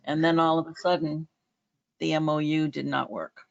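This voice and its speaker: A woman with an American accent giving an informal report in an online meeting, halting and plain-spoken. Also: low-pitched, steady tone, vocal fry. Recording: dull, lo-fi meeting call audio.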